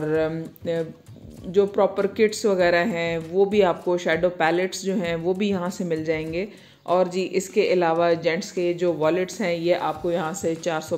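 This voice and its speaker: A woman's voice: lowish voice, darkish tone. A woman with a Pakistani accent narrating a shopping guide in a casual, conversational way, often checking in with the listener. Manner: conversational, repeatedly checks in with "okay". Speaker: market vlogger.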